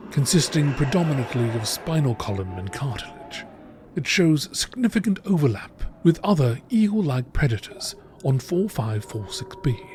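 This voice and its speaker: A man reading game lore entries as scripted nature documentary narration, in a measured, formal, deliberate manner. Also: mid-range voice, a little mellow, a little hiss or hum.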